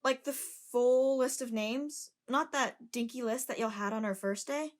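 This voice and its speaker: A woman's voice: a little high, unhurried, close to an everyday voice. A woman playing a flustered young female reporter who stowed away, defensive and chatty, in an amateur audio drama. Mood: casual.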